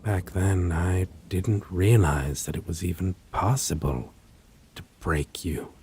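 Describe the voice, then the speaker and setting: A man playing a possessive, aristocratic vampire in a dramatic audio roleplay, speaking formally and theatrically with lingering pauses and a breathy voice that often drops toward a whisper. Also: sits low, fairly even intonation, warm tone. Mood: regretful, brooding. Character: possessive male vampire, menacing, wistful, aristocratic. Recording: faint noise, rain and thunder ambience.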